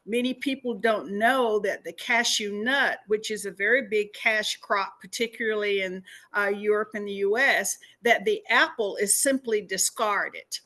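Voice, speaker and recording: A woman's voice: medium pitch, unhurried, few pauses, bright, forceful tone. A woman with an American accent speaking as a guest at a meeting, formal and earnest in her delivery. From United States. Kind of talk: public talk at a meeting.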